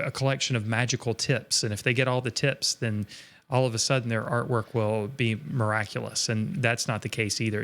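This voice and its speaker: A man's voice: mid-range voice, creaky, a bit bright. A man co-hosting a live art lesson stream, reading out viewer comments and encouraging adult learners in long, earnest stretches. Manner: earnest, encouraging, speaks in long reflective runs.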